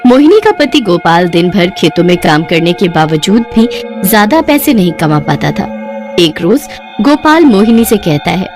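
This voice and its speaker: A woman's voice: fairly low pitch, very animated, moderate pace, music in the background. A woman narrating a scripted cartoon story as its storyteller.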